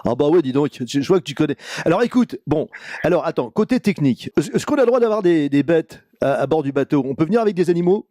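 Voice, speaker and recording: A man playing a chatty, brash showbiz agent pitching variety acts in slangy, insistent patter on a prank phone call. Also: very animated, fast, warm tone, no room sound, studio quality. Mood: excited, pushy. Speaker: prank caller.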